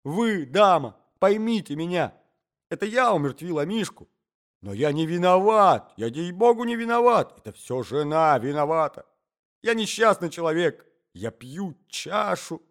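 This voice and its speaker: A man's voice: on the high side, lively intonation, normal speed, a little mellow, lightly acted. A man playing a hapless, flustered fellow in a story who pleads obsequiously and babbles in a whine. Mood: imploring.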